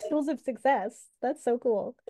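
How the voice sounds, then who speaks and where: A young woman moderating over a video call, enthusiastic and encouraging, with a slightly high, mellow voice. Her sound is dry and close, with little room echo. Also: a little slow. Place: room with old monitors, indoors.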